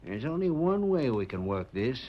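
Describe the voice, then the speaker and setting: A man's voice: medium pitch, sing-song, normal speed. An actor playing a calculating outlaw henchman with a folksy cowboy drawl in an old-time radio western. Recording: muffled, noisy vintage radio recording.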